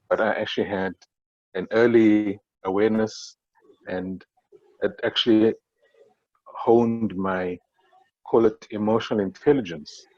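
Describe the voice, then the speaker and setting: A South African man speaking on a panel, reflective and halting, with many fillers and restarts. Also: on the low side, a little mellow, no room sound, lo-fi sound. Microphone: video call.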